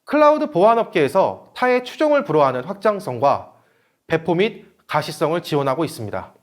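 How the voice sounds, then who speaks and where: A man's voice: on the high side, sing-song, brisk. A man giving a scripted technical presentation in a formal, read-out manner, as a seminar presenter.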